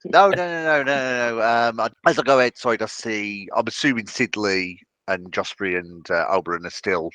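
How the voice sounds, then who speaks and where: A man playing a tabletop roleplaying game, casually improvising narration and banter in a halting, joking way. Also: on the low side, pushed and bright, no room sound.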